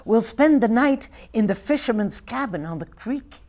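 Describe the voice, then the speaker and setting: A woman in her eighties from Belgium, a native Flemish speaker with a Flemish accent, reading her poetry softly and with measured care, sometimes dropping to a whisper. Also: on the high side, lively intonation. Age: 80s. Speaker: poet.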